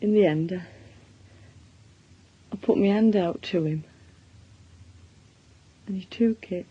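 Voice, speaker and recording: An actress playing a shaken, subdued woman in a radio drama, recalling things haltingly and reflectively in a Northern English accent. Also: mid-range voice, husky, highs cut off. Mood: subdued, tender. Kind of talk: intimate monologue in radio drama.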